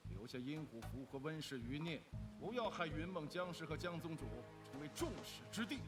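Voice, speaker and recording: An actor playing a pompous, indignant male clan lord in an animated fantasy drama, with a lofty, theatrical delivery. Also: fairly high pitch, fairly quick, over music, natural voice. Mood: stern, indignant.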